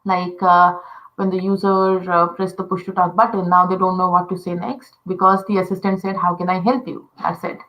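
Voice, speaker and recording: Indian-accented woman, Telugu native, lower pitch and bright tone; casual expert guest, filler-heavy delivery. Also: level tone, moderate pace, rough recording. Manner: many fillers, uh and you know. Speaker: conversation design guest. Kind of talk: casual explanatory chat.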